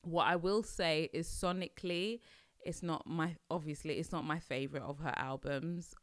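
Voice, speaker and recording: A woman's voice: low voice, steady tone, moderate pace, no room sound, studio quality. A young adult woman from Nigeria hosting a podcast, chatting casually and musing aloud with hesitant restarts. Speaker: podcast host. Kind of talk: casual solo podcast chat.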